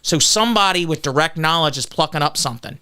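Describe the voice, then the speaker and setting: Loud, combative fast-talking man. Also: fairly high pitch, lively intonation, clean tone. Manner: combative, argumentative, lectures on legal details. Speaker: political candidate guest. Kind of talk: defensive podcast interview.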